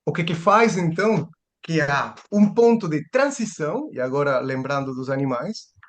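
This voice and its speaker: A man's voice: on the high side, animated, moderate pace. Man lecturing. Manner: thinking aloud, frequent restarts and rhetorical questions. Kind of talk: seminar lecture.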